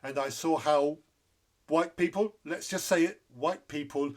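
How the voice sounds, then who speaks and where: A man's voice: a little high, measured pace. A man hosting an interview podcast, who grew up in Zambia, speaking candidly and repeating points for emphasis. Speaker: podcast host.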